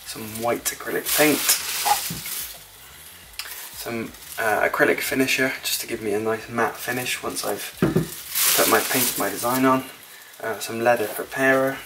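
A man in his thirties talks through his craft project in a relaxed, explanatory way, often pausing on an "uh". He sits a little way off the mic, and steady background noise hums under his voice. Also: mid-range voice, recorded from a distance. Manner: relaxed, explanatory, frequent "uh" fillers. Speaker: DIY vlogger. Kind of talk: casual voice-over narration. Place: home garage workshop.

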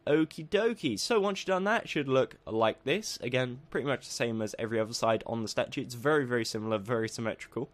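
Guy with a bit of a croaky voice walking thru a block-building tutorial, upbeat, middle pitch. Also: fast talker, hardly any pauses. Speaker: tutorial narrator. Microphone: voice-over over game footage.

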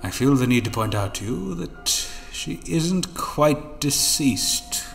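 A man's voice: mid-range voice, animated, takes it slowly, vocal fry, soft background music. A man playing a mocking, theatrical villain schemer in an audio drama, silky and taunting in his florid delivery. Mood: sly, taunting.

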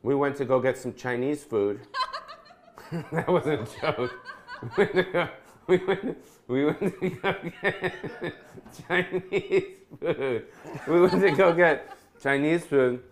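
A man's voice: a little high, slow, long pauses. Brief: fifties male host, playful teasing banter, home kitchen, camera a few feet off. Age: 50s. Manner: playful, teasing, laughs often. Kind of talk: casual chat.

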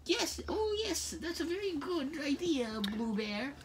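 A high-voiced performer voicing an elderly, muddled grandma teddy bear who gives halting cooking instructions in an amateur puppet skit. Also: very animated, a loud crowd, exaggerated voice. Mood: pleased. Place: small bedroom, indoors. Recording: noisy home recording with clicks.

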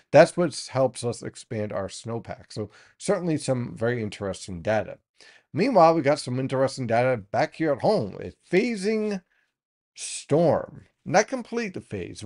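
Man, 30s, mid-range voice, weather briefing, calm explanatory manner, small indoor studio. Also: mid-range voice. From United States. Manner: steady explanatory tone, pointing things out on charts. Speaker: weather forecaster.

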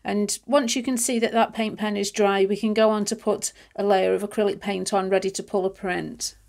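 A woman with a stuffy, nasal, congested voice talks through a hands-on craft demonstration. She is relaxed and chatty, pausing with ums as she explains each step. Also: medium pitch, gravelly. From United Kingdom. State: nasal and congested from hay fever. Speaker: craft tutorial host. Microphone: overhead camera on hands, voice-over.

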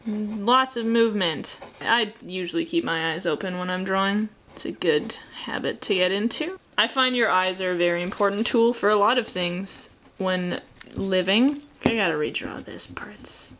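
A woman's voice: medium pitch, moderate pace, a bit bright, slight background noise. Chatty woman drawing and joking. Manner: chatty, joking, talks to herself while drawing. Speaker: artist teaching drawing. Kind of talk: casual drawing tutorial commentary.

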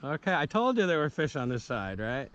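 A man's voice: a little high, big pitch swings, fairly quick, dull audio. A middle-aged man, chatting and coaching a boy while out fishing in a small river boat, recorded on a boat camera. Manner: easygoing, coaching and encouraging. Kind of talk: casual outdoor vlog chat. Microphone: action camera mounted on the boat. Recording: faint outdoor noise from a boat camera.

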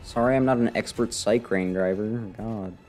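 A young man streaming a video game from a close desk mic, giving casual, sarcastic, indifferent commentary in a deadpan way. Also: fairly low pitch, moderate pace. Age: young adult. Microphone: desk microphone close to the face. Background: game music.

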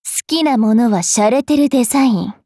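Haughty high-voiced vampire fairy girl. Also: lively intonation, normal speed, mellow, no echo, clean studio sound. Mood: brash. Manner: brash, teasing, rough-spoken. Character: haughty, mischievous young female vampire fairy priestess. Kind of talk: anime game character voice lines.

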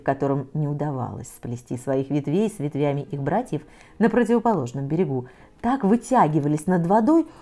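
A middle-aged woman reading a children's fairy tale aloud in a soft, measured way, sometimes sinking almost to a whisper. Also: fairly low pitch. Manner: soft, measured, often dropping to a near whisper. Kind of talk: gentle children's story reading. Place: cozy living room set with bookshelves, in an armchair.

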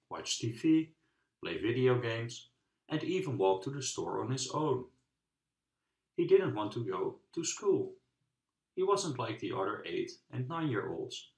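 A man narrating a children's book aloud with expressive, measured phrasing and dramatic pauses. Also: lowish voice. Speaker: storybook reader. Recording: roomy, echoing sound.

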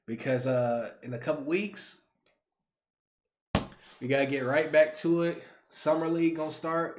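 A man in his twenties, a YouTube creator, talking to camera in an animated, slangy rant, repeating himself, from a small bedroom on a webcam mic. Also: fairly high pitch. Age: 20s. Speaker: YouTube sports reaction creator. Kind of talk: excited casual rant to camera.

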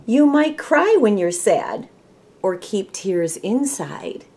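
A woman's voice: medium pitch, very animated, takes it slowly, mellow. A middle-aged woman with an American Midwestern accent, speaking expressively in the style of a children's storyteller. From Michigan, United States. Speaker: volunteer storybook reader. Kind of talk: children's read-aloud storytelling. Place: small room at home, indoors.

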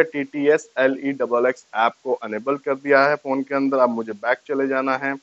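A man's voice: medium pitch, no echo, lo-fi sound. A man gives a casual step-by-step tutorial to camera, explaining and repeating each step. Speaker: tech tutorial host. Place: small furnished room indoors, on a sofa. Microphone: lapel mic.

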